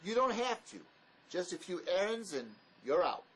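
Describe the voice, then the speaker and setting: A man's voice: high-pitched, sing-song, normal speed, a bit bright, low-quality recording. A man playing a desperate, pragmatic fugitive ex-convict in an audio drama, terse and dismissive, bossy as he talks his partner round. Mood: persuasive.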